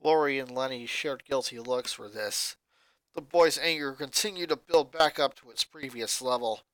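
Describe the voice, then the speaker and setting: A man reading a fanfic aloud, narrating in a steady, even way. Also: mid-range voice, moderate pace, no echo, poor audio. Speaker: fanfic reader. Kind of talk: story narration.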